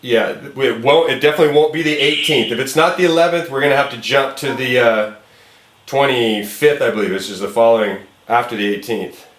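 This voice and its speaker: Male film director, mid-pitched and encouraging, chatty informal delivery, roomy echo on the voice. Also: a little fast, background noise. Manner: encouraging, informal, frequent "man" and "cool". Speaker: film director casting an actor. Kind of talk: casual phone call and talk to viewers. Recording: roomy, echoey room sound.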